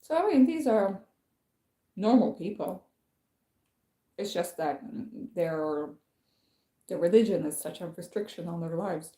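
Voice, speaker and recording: A woman's voice: lowish voice, lively intonation, unhurried, pauses often, warm tone, echoey room. A young woman from France chatting on her own livestream, rambling with lots of fillers and restarts. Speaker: channel host. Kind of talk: casual livestream chat.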